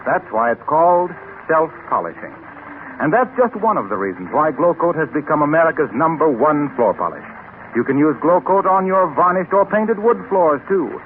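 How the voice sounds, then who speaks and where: A man's voice: on the high side, big pitch swings, moderate pace. A man working as a radio announcer, reading scripted 1930s copy in a polished, emphatic sales style. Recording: tinny, noisy 1930s radio recording.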